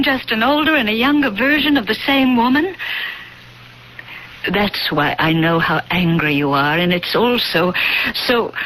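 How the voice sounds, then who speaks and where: A woman's voice: high-pitched, pushed and bright, slight background noise, exaggerated voice, low-quality recording. An actress playing an anxious young woman in a 1970s radio drama, speaking hesitantly and dramatically, on an old broadcast recording. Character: anxious young woman hiding a supernatural secret.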